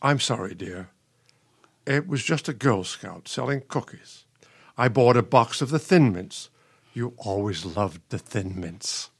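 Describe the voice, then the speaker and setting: Dry, expressive elderly husband played by an actor. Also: male voice, lowish voice, a little slow, husky, full character voice. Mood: gentle, soothing. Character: elderly retired professor husband, patient, resigned, dryly weary. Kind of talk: radio play acting.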